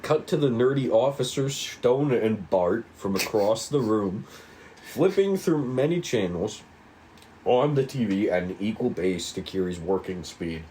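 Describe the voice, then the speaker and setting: A man's voice: on the low side, lively intonation, measured pace, mellow. A man narrating a table read, reading stage directions aloud on a slightly noisy recording.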